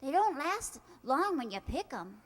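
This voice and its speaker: A woman's voice: sits high, sing-song, measured pace. A woman playing a dreamy, affectionate, innocent young niece, with a wistful, earnest, theatrical delivery in old-time radio comedy style. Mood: excited, concerned. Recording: echoey hall with long reverb.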